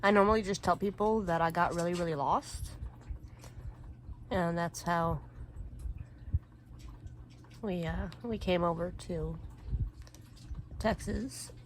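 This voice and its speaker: Swedish-born lady chatting while she crafts, slow and rambly, low-ish voice, bit of room hum. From Sweden. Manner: rambling, relaxed, frequent fillers and throat clearing. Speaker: crafting vlogger. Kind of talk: casual chat while crafting.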